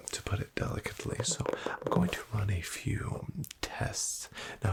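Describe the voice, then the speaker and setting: A man whispering softly as a polite, hesitant doctor in an ASMR roleplay, with a wry bedside manner. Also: fairly low pitch. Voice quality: whispered, gravelly. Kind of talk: soft-spoken ASMR roleplay.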